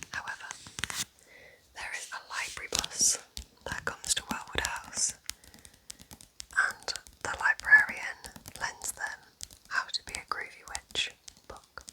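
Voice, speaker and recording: A woman speaks entirely in a breathy whisper. She presents in a casual ASMR style, letting short, soft phrases fall gently, as if chatting to one listener. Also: poor audio. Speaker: ASMR creator presenting book recommendations.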